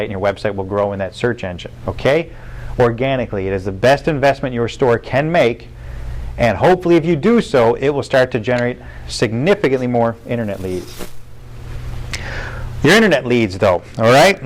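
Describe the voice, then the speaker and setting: A middle-aged American man presenting sales training, speaking emphatically and posing rhetorical questions. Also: mid-range voice. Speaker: car dealership sales trainer. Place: meeting room with rows of empty chairs.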